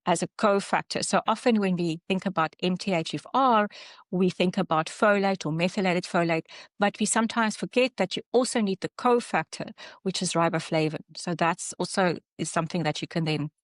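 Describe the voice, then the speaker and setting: South African woman clinician presenting slides, lowish voice, dry close sound, explains with pauses and restarts. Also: dry recording. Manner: halting explanation with fillers and self-corrections. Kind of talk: online webinar presentation.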